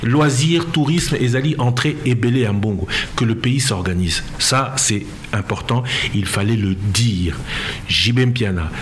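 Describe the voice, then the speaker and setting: A man's voice: medium pitch, unhurried, slight background noise. A man in his forties with a Congolese French accent, speaking emphatically in a radio debate and switching between French and Lingala. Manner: emphatic, mixes French and Lingala, gestures while talking. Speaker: minister answering listener questions. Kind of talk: radio debate, answering questions. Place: radio studio at an expo. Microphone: studio microphone.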